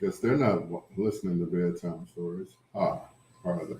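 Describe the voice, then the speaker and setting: A man chatting casually with live viewers as a livestream host, rambling and repeating phrases, with drawn-out greetings. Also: low-pitched, warm tone, slight background noise. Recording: roomy, echoing sound.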